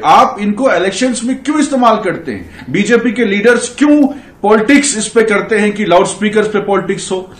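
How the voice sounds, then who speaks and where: A man's voice: sits high, echoey room. A man with an Indian accent arguing his side insistently in a heated TV panel debate. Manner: argumentative, insistent. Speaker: political party spokesman.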